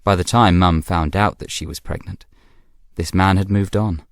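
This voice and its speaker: A man's voice: low-pitched, steady tone, moderate pace, dry recording, clean studio sound. An actor with a British accent playing a self-assured, scheming young man, confiding his story in a radio drama monologue with arch, knowing asides. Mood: confiding, measured.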